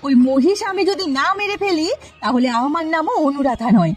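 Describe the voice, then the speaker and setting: A woman's voice: sits high, expressive, moderate pace, soft background music. A woman voicing a domineering, resentful village wife in a cartoon folk tale, nagging and threatening. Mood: offended, angry.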